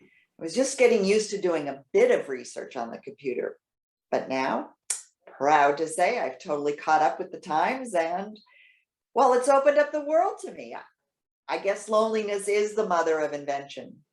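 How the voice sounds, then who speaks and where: A woman playing a trusting, excitable elderly widow in readers theater, talking in a chatty, halting, earnest way. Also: fairly low pitch. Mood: proud, chatty. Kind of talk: readers theater stage acting. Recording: roomy, echoing sound.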